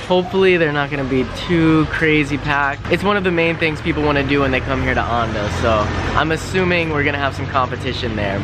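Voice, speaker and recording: A man's voice: a little high, background noise. A young man from the United States vlogging his travels, chatting casually straight to a camera held at arm's length, often saying "like". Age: young adult. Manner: chatty, talks straight to camera, many "like" fillers. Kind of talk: casual travel vlog narration. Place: outdoors on forest paths and indoors in a room. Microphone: handheld camera at arm's length.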